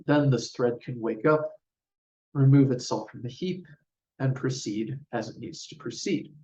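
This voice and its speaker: A man giving a scripted technical conference presentation in a measured, formal, explanatory way. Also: medium pitch, a little mellow. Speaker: researcher presenting a paper. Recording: roomy, distant mic, dull sound.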